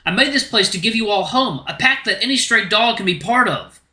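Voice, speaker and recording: A man's voice: high voice, lively intonation, brisk, sharp tone, roomy sound, full character voice. A man voicing a bitter, exhausted young human man who talks in a raised, resentful way.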